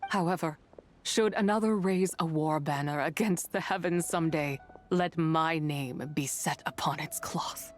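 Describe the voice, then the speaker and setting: A woman voicing a sorrowful, wrathful spirit in a fantasy video game, speaking in a solemn, archaic and measured way. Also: low voice, faint music behind. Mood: resolute, at peace. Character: sorrowful, wrathful female spirit remnant finding peace at last. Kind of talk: dramatic video game voice acting.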